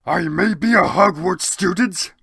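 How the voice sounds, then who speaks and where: A reader voicing an overwrought, lovestruck male wizard student who proclaims everything haltingly and bombastically. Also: medium pitch, creaky, fairly bright tone, breathy edge, no room sound, studio quality, pitched above the performer's own voice, exaggerated voice. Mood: grandly defiant.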